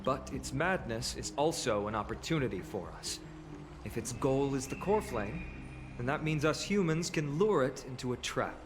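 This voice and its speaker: An actor playing an earnest, resolute young warrior hero in an anime fantasy game. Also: male voice, mid-range voice, lively intonation, normal speed, in a large hall, over music, close to an everyday voice. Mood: determined, strategic.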